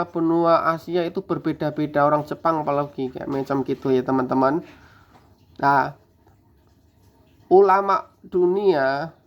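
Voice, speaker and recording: A man teaching a scripture lesson, chanting the verses slowly and then explaining them to viewers he calls friends. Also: a little high, a bit bright. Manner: slow drawn-out recitation, then quick explaining. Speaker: religious teacher. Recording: dull lo-fi recording.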